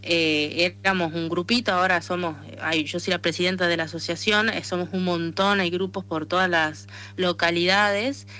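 A woman's voice: on the low side, steady tone, moderate pace, hardly any pauses, pushed and bright. A woman with a Tucumán accent from northern Argentina, answering as an athlete in a conversational radio interview. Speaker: interviewed athlete, arm wrestler and association president.

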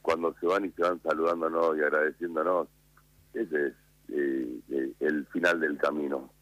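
Argentine male chef, 50s, low voice, relaxed explainer on a radio call. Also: no echo, muffled recording. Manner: calm, unhurried, explanatory. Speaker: chef guest. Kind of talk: radio interview by phone.

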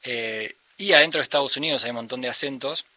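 Bright, dry-voiced Argentine man. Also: medium pitch, fast, dry recording. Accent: Argentine Spanish. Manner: thoughtful, searching for words. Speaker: musician guest. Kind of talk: reflective conversational interview.

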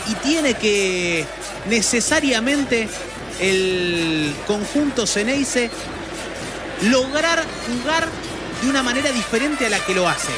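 A man with a high voice lays out his match analysis in a measured radio-commentator style. Music plays clearly behind him on the broadcast. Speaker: football commentator.

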